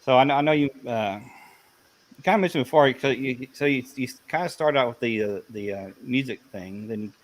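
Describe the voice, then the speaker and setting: A man hosting a casual chat, relaxed and a bit hesitant with fillers. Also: mid-range voice, fairly quick. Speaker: host.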